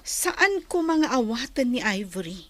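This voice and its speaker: A woman's voice: on the high side, very animated, a little slow, no echo. An actress with an Ilocano Filipino accent playing a nosy, envious female office worker in a radio drama. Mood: envious, indignant.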